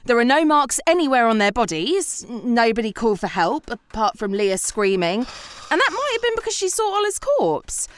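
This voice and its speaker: An actress playing an earnest, bossy writer turned amateur detective in a comedy audio drama, speaking in a pompous, precise way. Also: female voice, fairly high pitch, very animated, moderate pace, smooth, no echo. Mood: pompous, methodical.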